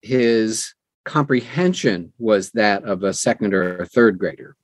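A man's voice: lowish voice, unhurried, no echo, studio quality. A middle-aged American man answering conversationally as an interview guest, explaining earnestly and emphatically with anecdotes. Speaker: podcast guest, audiobook narrator.

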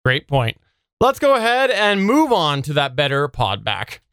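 A man's voice: fairly high pitch, huge pitch swings, a little slow, music in the background. A man hosting a podcast in a casual, conversational style, moving through segments and listener feedback. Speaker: podcast host.

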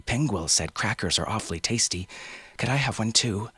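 A man's voice: on the low side, animated, normal speed, bright, forceful tone, husky, pitched above the performer's own voice, exaggerated voice. A man voicing a cheerful, chattering five-year-old island girl. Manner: eager, breathless chatter.

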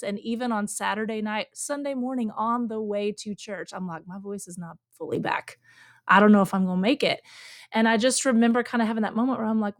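A woman's voice: medium pitch, no room sound, clean studio sound. A woman hosting a faith podcast, telling a personal story in a casual way with lots of "like". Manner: warm storytelling, frequent "like" and affirming murmurs. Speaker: podcast host.

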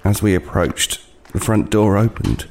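Haunted, halting homeless man, low voice. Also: darkish tone, a little hiss or hum. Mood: uneasy, ominous. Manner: hesitant, halting, haunted, with repeated words. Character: weary, wary homeless man recounting a frightening night. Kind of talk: dramatic first-person storytelling in an audio play.

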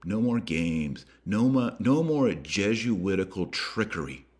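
A man with a deep, low-pitched voice speaks as a religious podcast host. He exhorts his listeners emphatically, pausing and repeating for weight, and mixes in colloquial turns of phrase. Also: lively intonation. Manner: emphatic, preachy, with dramatic pauses and repetition.

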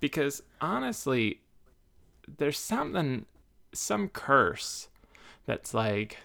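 A man's voice: medium pitch, very animated, takes it slowly, vocal fry, pushed and bright. A man co-hosting a podcast, chatting casually in a self-deprecating, rambling way. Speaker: podcast co-host. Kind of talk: casual chat.

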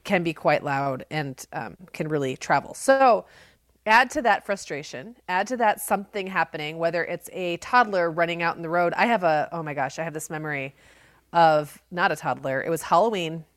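A woman in her forties co-hosting a podcast, telling stories in a loud, animated, projecting voice. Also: fairly low pitch, moderate pace, no room sound, studio quality. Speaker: podcast co-host. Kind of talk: casual chat.

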